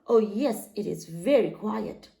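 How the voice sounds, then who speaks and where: A woman's voice: mid-range voice, animated, measured pace, gravelly, dark tone. A woman voicing three noisy young foxes who fuss and get scared easily. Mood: fussy.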